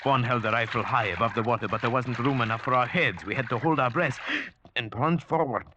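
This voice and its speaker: An actor playing a nervous, grave man recounting a horror hunt in 1940s radio drama, with measured, tense storytelling. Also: medium pitch, dull audio, background noise, lightly acted. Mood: breathless tension. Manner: measured storytelling rising to fearful cries. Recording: vintage radio recording with dramatic score.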